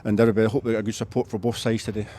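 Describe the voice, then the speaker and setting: A man's voice: fairly low pitch, little pitch movement, racing, dark tone, slight background noise. A man speaking as a rugby head coach in a casual pre-match interview.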